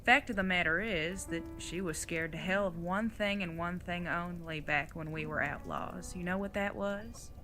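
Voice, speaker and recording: A woman's voice: lowish voice, moderate pace, few pauses, sharp tone, soft background music. A woman playing an older retired outlaw mother in an audio drama, folksy, plain-spoken and teasing, with affection underneath. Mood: reassuring, frank.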